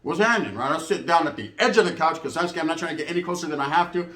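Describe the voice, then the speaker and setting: American guy telling funny stories, high-ish voice, talks quick, real slangy, kinda echoey. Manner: animated raconteur, acts out both sides of conversations. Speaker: comedic storyteller, host. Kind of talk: casual comedic storytelling, slangy.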